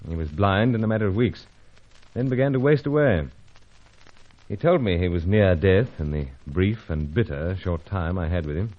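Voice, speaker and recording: Low animated male voice, suave British secret agent, urbane, clipped, vintage radio serial, dull recording. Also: normal speed. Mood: earnest. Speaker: hero and narrator. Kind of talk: old-time radio adventure serial acting.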